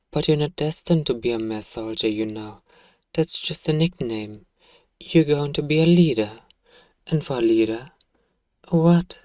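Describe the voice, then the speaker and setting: A man playing an evil emperor who is a soft-spoken, paternal and self-righteous father, speaking gently and formally in an ASMR bedtime role-play. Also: medium pitch, dry recording, natural voice. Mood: soothing, encouraging. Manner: gentle, measured, formal, persuasive.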